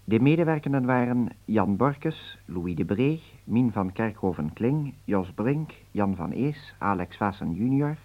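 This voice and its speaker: Man reading radio play credits, slow low voice, dull old recording. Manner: formal, even announcing. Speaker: radio announcer.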